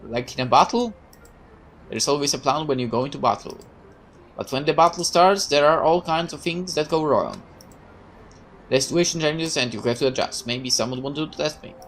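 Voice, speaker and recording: Naive young soldier boy, low and hesitant, read by a woman. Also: normal speed, a little hiss or hum. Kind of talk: reading visual novel dialogue aloud.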